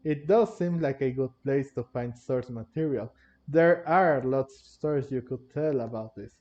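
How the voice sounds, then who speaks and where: A man's voice: mid-range voice, normal speed, a little mellow. A man giving casual, rambling commentary over a game playthrough, repeating himself as he goes. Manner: rambling, repetitive, chuckles between phrases. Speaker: gaming streamer. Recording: dull muffled mic, faint game music.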